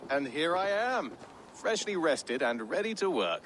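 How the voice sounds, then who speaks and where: A man's voice: a little high, measured pace, slight background noise, rough recording. A man playing an easygoing, playful woodland character in a video game, with a breezy manner. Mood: sheepish. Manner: breezy, animated.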